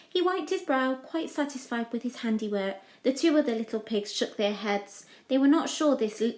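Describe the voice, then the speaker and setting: Female children's storyteller, higher-pitched, expressive and deliberate, recorded in a reverberant room. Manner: deliberate, emphatic phrasing for young listeners. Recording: echoey room.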